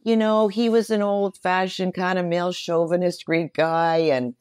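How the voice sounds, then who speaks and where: A woman's voice: lowish voice, steady tone, moderate pace, a little mellow, smooth, no room sound, clean studio sound. A middle-aged woman speaking as an interview guest, telling personal stories expressively, repeating phrases for emphasis. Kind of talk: personal storytelling in conversation.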